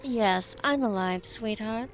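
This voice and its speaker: A woman's voice: on the high side, slow pace, a little hiss or hum. A woman playing a bold, heroic magician in a pulp audio drama, declaiming with defiance and indignation. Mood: determined.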